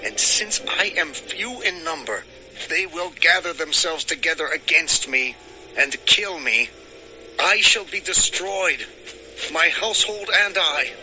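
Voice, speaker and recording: High-voiced, troubled ancient patriarch. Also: male voice, expressive, slow pace, pushed and bright, faint music behind, exaggerated voice, rough recording. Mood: distressed reproach. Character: troubled, stern patriarch father of ancient times. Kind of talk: dramatized audio Bible acting.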